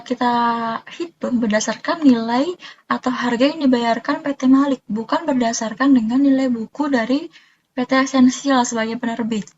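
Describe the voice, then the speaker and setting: A woman's voice: a little high, highs cut off. A young woman explaining material step by step in a student presentation, informal and a little hesitant. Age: young adult.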